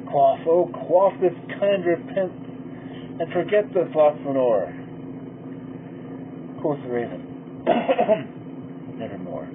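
A man giving a slow, theatrical reading of a poem, swelling loud in its pleas. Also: on the high side, big pitch swings. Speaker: poetry reader. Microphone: poor-quality mic. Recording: tinny phone-like sound with loud hum.

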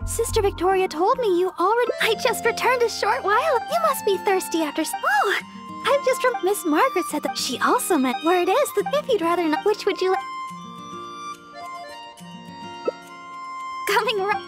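A sweet, high-pitched young deaconess. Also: female voice, expressive, fairly quick. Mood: cheerful, polite. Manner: gentle, polite, earnest. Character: sweet, earnest, selfless young church deaconess. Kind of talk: anime-style video game voice acting. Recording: music behind the voice.

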